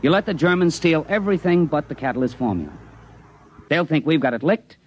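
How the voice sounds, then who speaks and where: An actor playing a cocky American army private with a sardonic, slangy manner in 1950s radio drama style. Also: male voice, fairly high pitch, lively intonation, fairly quick, lightly acted. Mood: eager, explaining. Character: cocky wisecracking army private, science-fiction writer turned schemer. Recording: muffled 1950s radio recording, faint noise.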